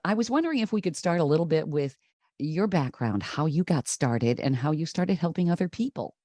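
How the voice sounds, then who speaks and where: A woman's voice: low voice, lively intonation, a little fast, warm tone, no room sound, studio quality. A woman co-hosting a trucking podcast, reading the introduction and then interviewing a guest. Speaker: podcast co-host. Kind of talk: scripted show intro and interview.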